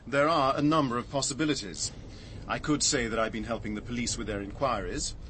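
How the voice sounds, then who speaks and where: An actor in a radio drama playing a wry, shaken latecomer with a dry, measured, wordy delivery. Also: male voice, medium pitch, lightly acted. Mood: wry, grim. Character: wry, shaken latecomer, dryly theatrical gentleman. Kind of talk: BBC radio drama dialogue. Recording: noisy radio drama recording.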